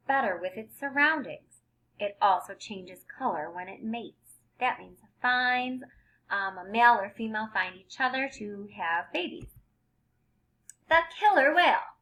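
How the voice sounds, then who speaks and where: A woman's voice: medium pitch, rough recording. A woman reading a picture book aloud to young children like a teacher, stressing key words expressively and explaining things simply. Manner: expressive, emphasized headings with simple explanatory asides.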